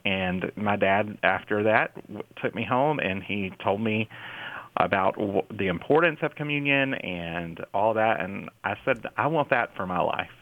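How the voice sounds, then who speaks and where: A man in his forties with a Mississippi drawl shares his story as a guest, stopping and restarting with plenty of fillers. His voice sits low and comes through a thin, tinny phone-like line. The sound is dry, with no room around it. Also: dry recording. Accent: Southern US (Mississippi). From Mississippi, USA. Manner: halting, with frequent fillers and restarts. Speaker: children's pastor, guest. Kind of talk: casual interview talk.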